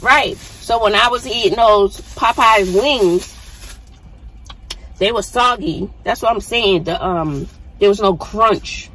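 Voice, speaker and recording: A woman's voice: mid-range voice, slow, fairly bright tone, breathy edge, poor audio. A middle-aged woman hosting a casual food review vlog from inside a parked car, chatting, explaining and asking follow-up questions into a dashboard phone camera. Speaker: vlog host, mother. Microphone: phone camera mounted on the dashboard.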